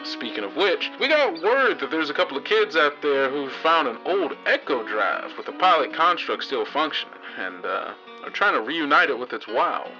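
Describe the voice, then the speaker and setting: A man performs a folksy post-apocalyptic radio host, an easygoing old pal with a wry, fatherly streak. The broadcast sounds band-limited and lo-fi, and guitar music plays clearly behind the voice. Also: fairly high pitch, big pitch swings, moderate pace, hardly any pauses, pushed and bright. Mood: wry, caring. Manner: folksy, easygoing, drawling storyteller phrasing. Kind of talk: in-character radio broadcast monologue.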